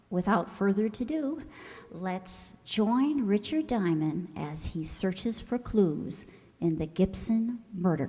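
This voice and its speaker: A woman hosting a stage show, giving a prepared introduction in a measured, conversational way. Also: mid-range voice, a little mellow. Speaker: host introducing a radio players' stage show. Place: live performance hall before an audience. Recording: reverberant hall, live stage sound.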